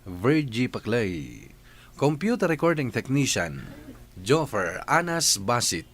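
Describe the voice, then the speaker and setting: A man hosting a radio drama, reading scripted letters and narration with expressive, dramatic phrasing. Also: lowish voice.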